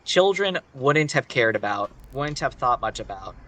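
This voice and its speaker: A man chatting casually and improvising a story on a live stream, in a halting, rambling way with many restarts. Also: mid-range voice, very animated, pushed and bright, rough recording. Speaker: streamer telling a fairy tale while gaming.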